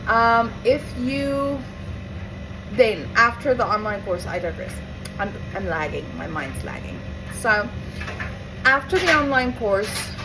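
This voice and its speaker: A young woman with an Afrikaans accent, from South Africa, telling a rambling, chatty story to camera with asides and self-corrections. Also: fairly high pitch. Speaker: vlogger. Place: home with appliances running. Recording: noisy home recording.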